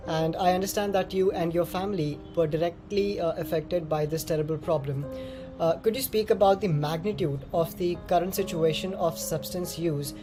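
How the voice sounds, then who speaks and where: A woman co-hosting a formal interview, speaking in a careful, halting way. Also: on the low side. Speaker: interviewer, head of a writing department.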